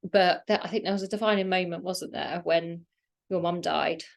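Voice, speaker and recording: A woman's voice: lowish voice, fairly even intonation, moderate pace, gravelly. A woman in her thirties hosting a podcast, chatty and prompting her guest with questions between laughs. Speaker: podcast host. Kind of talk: casual conversation.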